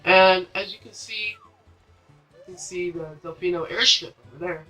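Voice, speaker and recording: A male gaming host chats informally through his playthrough, rambling along casually. His voice is fairly high and very sharp, with faint game music behind it. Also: fairly even intonation, moderate pace, low-quality recording. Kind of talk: casual let's play commentary.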